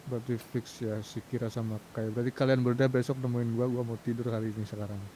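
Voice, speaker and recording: Casting: male gamer, monotone and dark-toned, casual chat with faint noise. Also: mid-range voice, quick pace, low-quality recording. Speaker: gamer in a roleplay crew. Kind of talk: casual gaming group chat.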